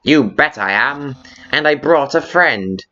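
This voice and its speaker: A man's voice: mid-range voice, lively intonation, slow pace, sharp tone. A man voicing a cheerful, pompous, childlike skeleton in a video game fan dub, with a theatrical, eager manner. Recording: muffled lo-fi audio with background music.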